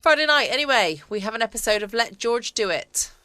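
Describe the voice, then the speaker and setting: A woman's voice: fairly high pitch, lively intonation, brisk, loud music behind the voice. A woman with a southern English accent chatting casually as host of a bedtime podcast. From United Kingdom. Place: at home, close dry recording.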